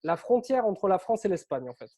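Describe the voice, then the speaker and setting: A French man with a somewhat high yet mellow voice, talking as an interview guest. He speaks in a lively, chatty way and often uses filler words. He grew up on Réunion Island but carries no accent. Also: fairly quick, slightly rough. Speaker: interview guest, author and coach. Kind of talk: casual interview storytelling.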